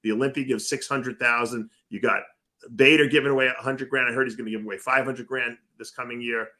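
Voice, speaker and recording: Brief: male talk radio host, bright, lively storyteller, casual banter. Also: mid-range voice, fast. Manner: animated storyteller who acts out other people's voices.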